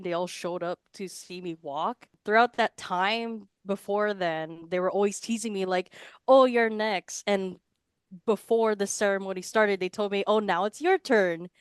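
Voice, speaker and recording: A young woman from Hawaii chats loosely as a podcast guest, piling on "like" and side remarks. Her voice is smooth and clean, close to the mic in a dry setting. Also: mid-range voice, no echo. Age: 20s. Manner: chatty, rambling, lots of "like". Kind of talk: casual interview answers.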